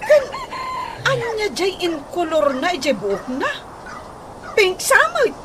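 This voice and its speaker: A woman's voice: extremely high pitch, wildly swooping pitch, slow, heavy background noise, exaggerated voice. A woman playing a scornful, gossiping sister-in-law in a radio comedy drama, with an Ilocano accent. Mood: scandalised, mocking.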